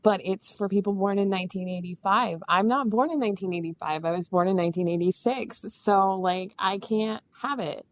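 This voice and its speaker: A woman's voice: medium pitch, brisk, few pauses, dull audio, slight background noise. A woman in her thirties chatting as a guest, self-mocking and chatty, often laughing through her words. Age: 30s. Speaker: caller, guest. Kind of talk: casual chat.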